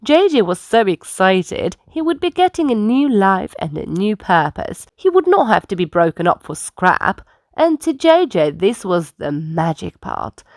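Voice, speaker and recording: Animated woman reading a children's story. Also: medium pitch, unhurried, no room sound, studio quality. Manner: expressive, dramatic emphasis. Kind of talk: children's read-aloud narration.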